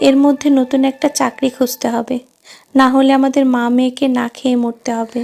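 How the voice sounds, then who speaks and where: A woman's voice: high-pitched, little pitch movement, a little fast, creaky, darkish tone. A woman voicing a hardworking, worried animal housemaid mother in a children's cartoon, plaintive and outspoken. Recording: music under the voice.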